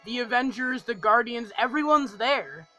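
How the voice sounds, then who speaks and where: A man's voice: very high voice, normal speed, sharp tone, soft background music, rough recording. A young man giving a casual, enthusiastic video review, gushing and rambling and repeating phrases for emphasis. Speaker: film review vlogger.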